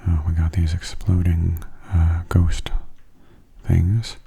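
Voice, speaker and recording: Guy doing asmr game commentary, super soft n breathy, really mellow dark voice, gentle. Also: low voice, completely flat delivery, slow pace. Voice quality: soft, breathy, half-whispered. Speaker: video game streamer.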